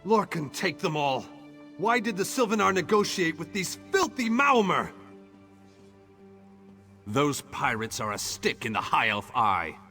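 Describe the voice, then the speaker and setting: A hawkish fantasy-game elf soldier, full of contempt. His voice is forceful and sharp, swinging widely in pitch as he bites off his words. Faint music sits underneath. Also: sing-song, a little slow, pauses often, full character voice. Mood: scornful, angry. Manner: scornful, biting. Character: hot-headed, contemptuous male elf soldier, hawkish and bitter. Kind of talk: video game character dialogue.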